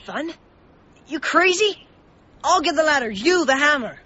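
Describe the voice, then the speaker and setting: A woman's voice: high-pitched, animated, unhurried, bright, forceful tone, no echo, exaggerated voice. A woman playing an earnest, anxious young boy in a radio drama. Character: earnest, anxious young small-town boy, loyal and questioning. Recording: muffled, noisy radio drama recording.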